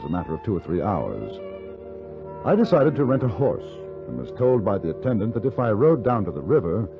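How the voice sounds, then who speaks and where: A man playing a wry, polite English reporter in the West, with a measured, understated delivery in a radio drama. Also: on the low side. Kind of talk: first-person radio drama narration and dialogue. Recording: muffled 1950s radio, music behind.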